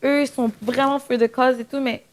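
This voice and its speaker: A high-pitched female voice with a light Quebec accent. She talks in an animated, informal podcast style, dropping English words into her French as she goes. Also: normal speed, a crowd in the background. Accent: Quebec French, slight. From Quebec, Canada. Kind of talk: casual podcast chat with English slang mixed in.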